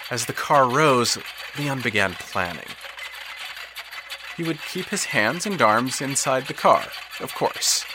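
A man's voice: on the low side, noisy background. Man narrating an audio drama expressively. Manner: expressive storytelling, swelling with tension and dropping to hushed tones.

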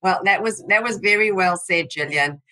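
South African woman host moderating a panel, bright forward voice. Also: mid-range voice, moderate pace.

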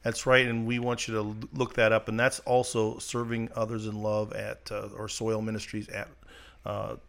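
A man's voice: lowish voice, monotone, normal speed, vocal fry, a bit bright. A man co-hosting a casual ministry podcast, joking and chatty as he introduces a guest. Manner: joking, chatty, laughs often. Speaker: podcast co-host. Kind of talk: casual chat.

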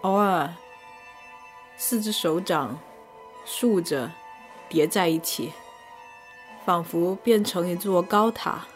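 A woman narrating a scripted first-person arts review in measured, descriptive short phrases. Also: fairly low pitch, faint music behind. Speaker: podcast host and reviewer.

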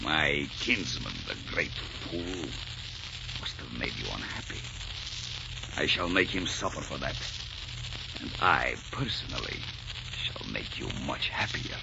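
Radio villain: oily desert sheik, very low voice, big swings, muffled noisy old recording. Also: male voice, expressive, a little slow, vocal fry, long pauses, full character voice. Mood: oily, gloating. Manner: oily, gloating, threatening. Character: lecherous, menacing desert sheik villain, fat, male. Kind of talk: 1940s radio acting.

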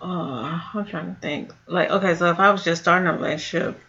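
Woman, forties, gravelly, teasing podcast co-host, lo-fi recording. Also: on the low side, fairly even intonation, brisk, sharp tone. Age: 40s. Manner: teasing, dry. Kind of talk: casual chat.

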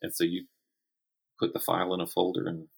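A man talking casually as a podcast guest, thinking aloud in a conversational, self-deprecating way, with a husky voice. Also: low voice, monotone, a little fast. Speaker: guest, writer and writing teacher.

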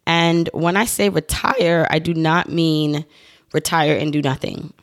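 A woman's voice: sits low, measured pace. A woman in her thirties hosting her own podcast, talking solo in a chatty, upbeat way with rambling asides, her voice still recovering after she lost it. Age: 30s. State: recovering from voice loss. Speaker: podcast host.

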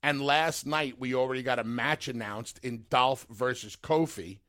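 A man's voice: medium pitch, moderate pace, a bit bright. A man co-hosting a talk radio show, pressing his partner with questions and repeating himself to cut in. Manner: pushy questioning, repeats to interrupt. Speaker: radio co-host.

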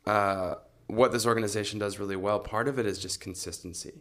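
A man answering as an interview guest in a conversational way, thoughtful and halting as he builds his points. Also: lowish voice, steady tone, fairly bright tone. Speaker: arts organization administrator, interview guest.

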